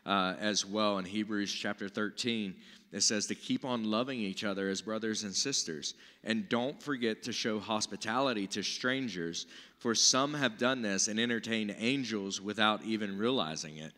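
Bright-voiced man preaching in a hall. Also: fairly low pitch, moderate pace. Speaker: pastor. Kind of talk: conversational sermon teaching. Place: stage of a church auditorium with seated audience. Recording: reverberant large-hall stage sound.

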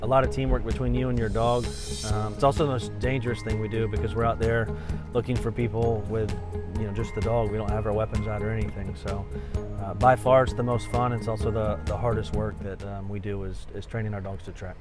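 A police dog handler talking calmly from inside a parked patrol car, answering viewer questions with frequent fillers. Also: male voice, lowish voice, music in the background. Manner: relaxed, halting explanation with many fillers.

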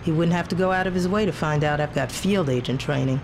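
A woman's voice: deep voice, fast. A woman playing a confident, wry undercover intelligence agent in a video game, speaking with composure. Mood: tense, bitter. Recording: loud music and vehicle noise behind.

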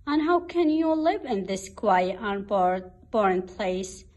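A woman's voice: mid-range voice, very animated, takes it slowly, a little mellow. A woman voicing a snobbish, bossy town mouse from a storybook, with emphatic delivery. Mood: disdainful.

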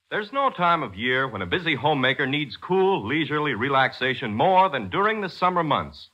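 A man's voice: fairly high pitch, sharp tone, breathy edge, highs cut off. An American man announcing in scripted 1940s radio style, with a dramatic, emphatic and polished delivery. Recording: 1940s radio broadcast with music.

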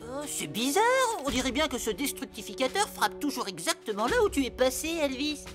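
A woman's voice: high-pitched, animated, brisk, music in the background. A woman voicing a level-headed, brainy child sidekick in a cartoon, cautious, precise and matter-of-fact. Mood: concerned.

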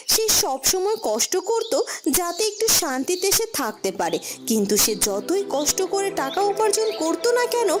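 Very high-voiced woman storyteller. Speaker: narrator. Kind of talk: scripted fairy-tale narration for a cartoon.

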